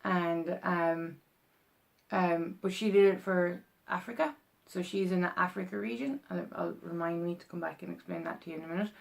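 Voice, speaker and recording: Chatty Irish woman with a gravelly voice. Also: on the low side, normal speed. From Ireland. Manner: rambling, chatty, full of ums and restarts. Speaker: vlogger. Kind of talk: casual explainer vlog.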